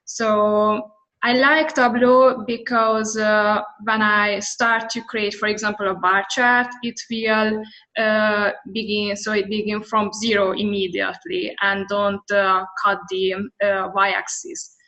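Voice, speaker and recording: A woman's voice: medium pitch, little pitch movement, takes it slowly, bright, forceful tone, rough recording. A woman with a Hungarian accent, a non-native English speaker, giving a conference presentation and then answering questions with some hesitation. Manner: earnest, occasional hesitations. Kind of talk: presentation with audience Q&A.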